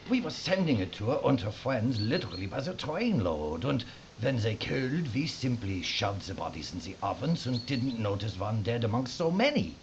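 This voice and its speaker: An actor playing a treacherous camp officer in an audio drama, knowing and wry. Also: male voice, mid-range voice, very animated, normal speed. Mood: bitter explaining. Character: sly, treacherous German Nazi camp officer. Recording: noisy, muffled lo-fi recording.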